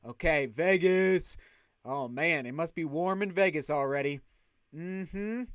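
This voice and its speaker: A man hosting a casual livestream, chatting upbeat and loud while reading out viewer comments. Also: fairly high pitch, takes it slowly, clear, resonant tone, dry recording, clean studio sound. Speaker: livestream host.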